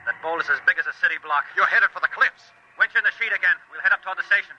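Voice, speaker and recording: A man's voice: sits high, racing, natural voice. An actor playing a frantic crewman in a 1950s radio drama, calling out in short, urgent exclamations. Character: frantic crewman battling a deadly windstorm. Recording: muffled old radio recording, loud storm noise.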